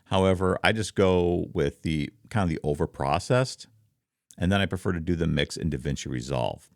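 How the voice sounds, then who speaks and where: A man with a very deep, rumbling voice, explaining things casually and conversationally to viewers, close on a studio microphone. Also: normal speed, dry recording. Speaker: content creator, streamer. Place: home office.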